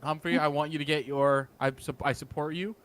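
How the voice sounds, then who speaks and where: A man's voice: fairly high pitch, steady tone, brisk, fairly bright tone. A man playing a role-playing game with friends, joking and laughing often in casual chat. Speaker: player.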